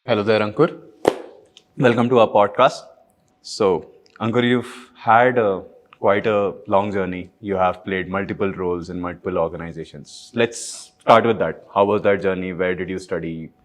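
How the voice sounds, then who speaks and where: Low-voiced podcast host. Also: male voice, steady tone. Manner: measured, attentive listener with short backchannels. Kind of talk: podcast hosting and interviewing.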